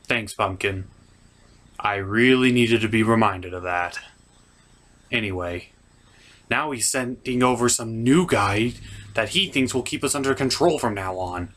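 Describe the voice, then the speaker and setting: A man voicing a flustered, exasperated puppet host of a cartoon review show, halting and apologetic in a scripted comedy skit. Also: lowish voice, a bit bright. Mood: weary, sarcastic.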